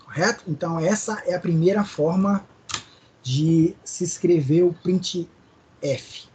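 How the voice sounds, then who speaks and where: A man's voice: sits high, takes it slowly, a little mellow, slight background noise. A male teacher with a Maranhão accent, explaining code step by step in a live online class and often checking in with his students. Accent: Brazilian Portuguese, Maranhão. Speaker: programming teacher.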